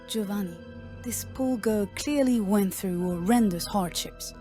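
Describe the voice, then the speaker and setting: A woman's voice: mid-range voice, a little slow, dark tone, close to an everyday voice. A woman playing a headstrong, impassioned lady occultist in an audio drama. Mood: indignant pleading. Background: music.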